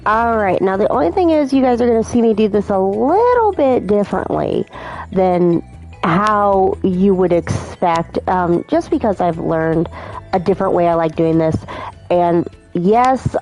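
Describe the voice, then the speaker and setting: A woman's voice: on the low side, darkish tone, poor audio. A woman in her thirties hosting a casual let's play, chatty and apologetic, her voice a little off from being sick. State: slightly off voice from illness. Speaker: gaming channel host.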